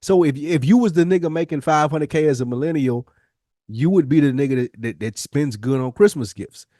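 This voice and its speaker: A man's voice: a little high, a little fast, dark tone, no echo, studio quality. A male podcast co-host chatting and debating casually in a slangy, profane, insistent way.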